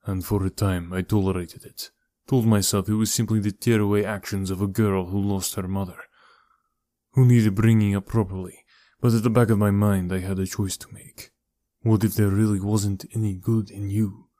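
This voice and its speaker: Casting: male reader as aging, weary uncle in audiobook, low, measured, deadpan, protective, regretful. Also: dry recording, close to an everyday voice. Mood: solemn, tender. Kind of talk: audiobook character dialogue.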